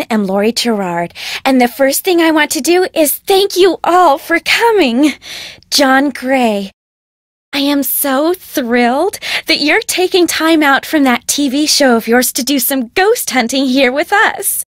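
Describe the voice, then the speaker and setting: A young American socialite in a video game. Her voice sits very high, with a breezy, self-important gush. She sounds spoiled and pleased to be in charge, recorded close and dry. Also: no echo. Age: young adult. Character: gushing, spoiled rich blonde socialite.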